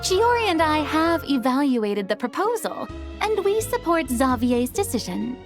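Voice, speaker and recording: A woman's voice: sits high, sing-song, measured pace, music in the background. An actress voicing a poised, refined woman film director.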